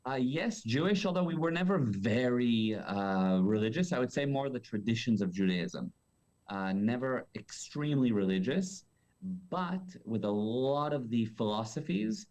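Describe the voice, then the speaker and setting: A man in his thirties whose first language is Hebrew, raised between South Africa and Florida, speaks in a lowish voice as a podcast guest. He explains things confidently and fluently, with the odd filler word. The sound is muffled and lo-fi, like a remote call. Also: darkish tone. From South Africa and Florida, USA. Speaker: guest entrepreneur. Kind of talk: podcast interview.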